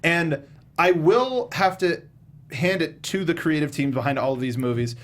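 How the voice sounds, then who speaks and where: A man hosting a casual panel film review, talking in an animated, slangy and emphatic way. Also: on the high side, normal speed. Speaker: host of a film review show.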